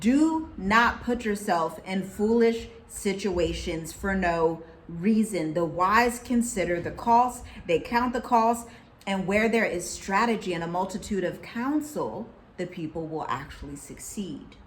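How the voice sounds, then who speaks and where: An American woman co-hosting a casual chat, talking in a lively, preachy way with scripture references. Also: medium pitch, unhurried. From United States. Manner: animated, preachy, quotes people in put-on voices. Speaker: co-host.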